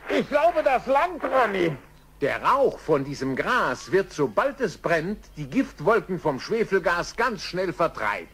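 An actor voicing a helpful, bossy animal friend in a children's radio play. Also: male voice, sits high, very animated, unhurried, fairly bright tone, breathy edge, heavily acted. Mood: commanding. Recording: vintage radio play with music underneath.

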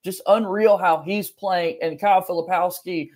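High-voiced young male sports podcast host. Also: fairly even intonation, moderate pace, darkish tone. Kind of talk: conversational sports podcast hosting. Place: home office room, video call.

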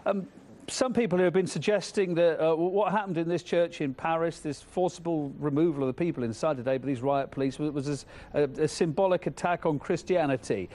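A man's voice: on the high side, brisk, dark tone. A man anchoring a live news broadcast, questioning a guest in a conversational way.

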